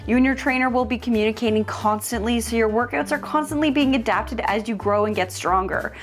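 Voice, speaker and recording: A woman hosting a DIY vlog, talking in an upbeat, expressive, casual and chatty way. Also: a little high, brisk, soft background music. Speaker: host of a DIY home makeover vlog.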